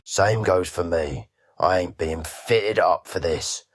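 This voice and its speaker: An actor playing a shady, defensive nightclub manager in a radio crime drama, slangy and cheeky. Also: male voice, low voice, slow. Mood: defiant.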